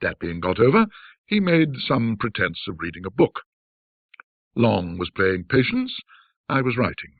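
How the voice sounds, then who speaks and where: Husky, low-pitched male storyteller. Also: sing-song, a little slow, pauses often, no room sound, narrow, tinny audio. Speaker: narrator. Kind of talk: scripted ghost story narration in classic British storytelling manner.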